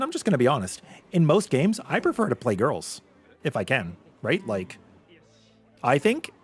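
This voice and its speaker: A man with a light voice, often taken for a girl's, chats with his viewers on a livestream. His pitch sits mid-range but swings widely as he talks, animated and quick to laugh. Faint game music plays behind him. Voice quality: light voice he says is mistaken for a girl's. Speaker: VTuber streamer. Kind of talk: casual livestream chat with viewers.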